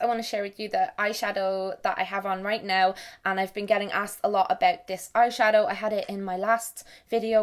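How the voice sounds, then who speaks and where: A young Irish woman vlogging to camera in casual, self-mocking chat, swearing freely. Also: mid-range voice. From Ireland. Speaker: beauty and lifestyle vlogger.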